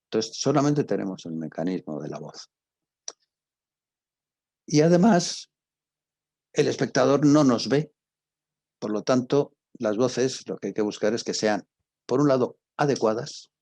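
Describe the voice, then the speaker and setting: Male teacher, Castilian Spanish accent, dark and husky tone, very dry close sound, halting explanatory delivery that checks in with listeners. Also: medium pitch, lively intonation, dry recording. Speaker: teacher of radio drama. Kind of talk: informal spoken lecture.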